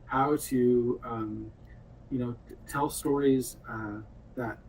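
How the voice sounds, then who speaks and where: A man chatting casually as a game developer in an interview, in an animated, halting and jokey way. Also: mid-range voice. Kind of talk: casual chat. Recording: distant mic, faint room noise.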